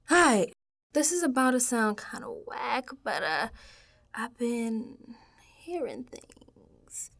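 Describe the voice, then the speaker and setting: Woman acting animated, slangy young New woman. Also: fairly high pitch, takes it slowly, creaky, long pauses, full character voice. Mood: uneasy. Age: young adult. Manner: slangy, conversational, dropping into hushed asides. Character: streetwise, spooked young New York woman recording audio diary. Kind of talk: first-person audio drama monologue.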